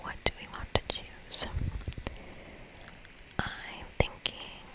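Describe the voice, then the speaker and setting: A woman whispering breathily in an ASMR style as she hosts a game playthrough, reading aloud and commenting softly. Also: rough recording. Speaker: ASMR gaming host.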